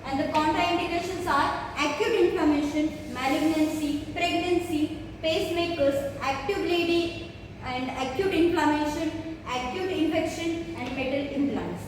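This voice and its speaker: A woman's voice: sits high, a little slow. A young woman with an Indian accent gives a formal, prepared educational presentation, reciting it point by point as a student presenter. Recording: very echoey room, distant mic, noisy.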